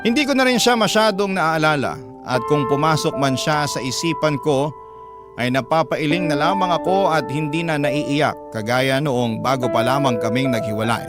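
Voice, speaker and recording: A man hosting a radio love-story program, reading a listener's letter aloud in a steady, earnest storytelling voice. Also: a little high, moderate pace. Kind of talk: scripted storytelling narration. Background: loud guitar music.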